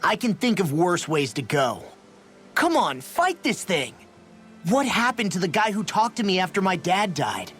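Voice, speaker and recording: An actor playing a hot-headed, loyal young fighter in a video game, blunt and slangy. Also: male voice, high-pitched, big pitch swings, moderate pace, a bit bright, soft background music. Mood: fired up. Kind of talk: dramatic video game voice acting.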